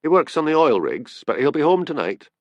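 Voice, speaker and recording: A man's voice: on the low side, big pitch swings, a little fast, dry recording, muffled recording. A man voicing a breezy, defiant young policewoman with a cheerful, offhand manner, in an audiobook story.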